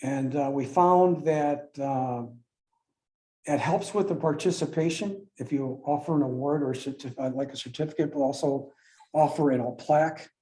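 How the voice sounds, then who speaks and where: Male organizer addressing club members informally, relaxed dark timbre, hesitant phrasing. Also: a little high, normal speed, rough recording. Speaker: contest organizer presenting and taking questions.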